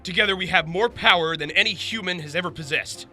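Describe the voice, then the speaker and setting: A man's voice: sits high, quick pace, bright, forceful tone, soft background music. A man playing an earnest, commanding hero in a comic book audio drama, declaiming as if making a speech. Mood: resolute. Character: earnest, commanding heroic leader of superhumans.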